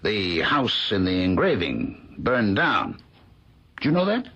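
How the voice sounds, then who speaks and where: Husky, very animated old professor. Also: male voice, fairly low pitch, takes it slowly, heavily acted. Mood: chatty, informative. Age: older. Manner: avuncular, animated, exclamatory. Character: genial, inquisitive older male professor. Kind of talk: radio drama acting. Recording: muffled old radio drama recording.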